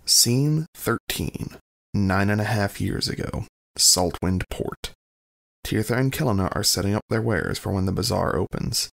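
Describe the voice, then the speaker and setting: Male narrator, low pitch, gravelly fry, scripted audio drama scene announcements. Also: lively intonation, a little slow.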